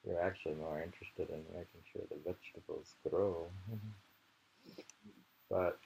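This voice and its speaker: A man's voice: deep voice, level tone, normal speed, creaky, warm tone. A man reading poetry aloud and commenting informally, musing and rambling as he goes, often repeating phrases. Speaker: poetry reader. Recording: noisy amateur home recording.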